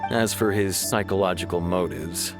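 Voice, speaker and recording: An actor playing an earnest, formal police officer giving a briefing in a video game. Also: male voice, low voice, fairly even intonation, normal speed, natural voice. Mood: frustrated. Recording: loud game music behind the voice.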